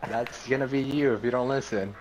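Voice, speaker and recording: A man chatting casually as he plays a video game, excitable and foul-mouthed, repeating himself often. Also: mid-range voice, fairly quick, background noise. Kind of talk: casual gaming chat with friends.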